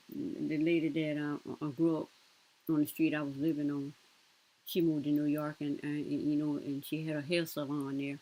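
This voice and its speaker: A woman's voice: low-pitched, mellow, low-quality recording. A woman with a Southern US accent giving casual reaction commentary in a rambling, colloquial way.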